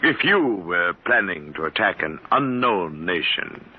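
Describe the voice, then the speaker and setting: A man's voice: low-pitched, very animated, unhurried. An actor playing a formal, pedantic scholarly man, in 1950s radio drama style, on a muffled old broadcast with hiss. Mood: earnest. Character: odd, precise scholarly man seeking Martians.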